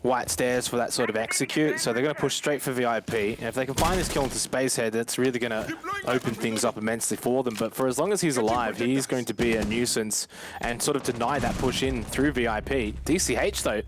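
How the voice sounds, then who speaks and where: A man's voice: mid-range voice, brisk. A man giving live, analytical esports commentary in a conversational way, often addressing the audience directly. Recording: clear game noise behind the voice.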